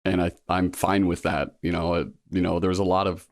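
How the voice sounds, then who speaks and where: A man's voice: low voice, level tone, brisk, dry recording, clean studio sound. A man in his early thirties, a guest in a casual interview, talking earnestly and rambling with lots of fillers. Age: 30s. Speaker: guest, law school applicant.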